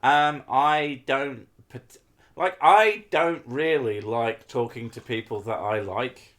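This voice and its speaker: Male streamer, mid-pitched, rambling casual chat with swearing. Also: takes it slowly, fairly bright tone. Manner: swears often, rambling with fillers.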